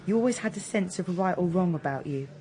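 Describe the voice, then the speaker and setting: An actress with a British accent playing a brash, teasing, guarded young ex-soldier in naturalistic radio drama, blunt and deflecting. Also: female voice, on the low side, animated, fast talker, dark tone. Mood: blunt, fond.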